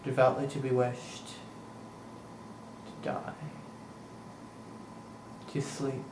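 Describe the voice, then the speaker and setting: A young man playing an anguished, brooding prince in mourning in a slow theatrical Shakespearean monologue, with deliberate, dramatic pauses. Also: mid-range voice. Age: young adult. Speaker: student actor performing monologues. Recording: roomy echo, distant mic, faint noise.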